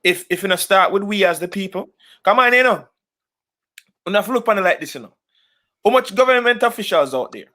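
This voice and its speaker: Rapid high rousing Jamaican man. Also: animated, pushed and bright. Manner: rousing, rhetorical questions. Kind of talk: impassioned call to action.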